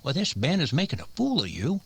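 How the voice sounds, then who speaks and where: A man acting a fussy frontier doctor in a radio western. His voice is creaky and swings around in pitch as he rattles along quickly, stammering and flustered. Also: wildly swooping pitch, fairly bright tone, no room sound, exaggerated voice. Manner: stammering, rambling.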